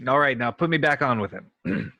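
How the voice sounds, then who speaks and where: A man's voice: medium pitch, animated, normal speed, bright, forceful tone, dry recording. A man acting a cocky, flustered starship captain in a sci-fi script read, blustering and bossy. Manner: hesitant stammering bluster, throat-clearing, barked orders. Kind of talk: screenplay table read acting.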